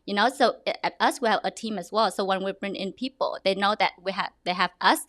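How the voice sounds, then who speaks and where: Vietnamese-accented young woman, real estate agent on a podcast, lively, dry close sound. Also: medium pitch, fairly quick, dry recording. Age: young adult. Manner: animated, restarts often, laughs. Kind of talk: podcast interview.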